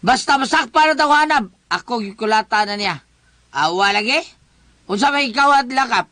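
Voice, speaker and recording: A man's voice: very high-pitched, expressive, normal speed, pushed and bright, dry recording, full character voice. A man with a Cebuano Filipino accent playing a sly, shameless village councilor who wheedles and brushes things off in a comedic radio drama. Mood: feigned bluster.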